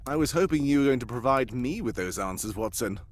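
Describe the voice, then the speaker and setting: A man's voice: mid-range voice, expressive, brisk, background noise, natural voice. A man playing an arrogant, droll detective in a live comic read of an old-time radio mystery, theatrical and full of wry asides. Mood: wry, pondering.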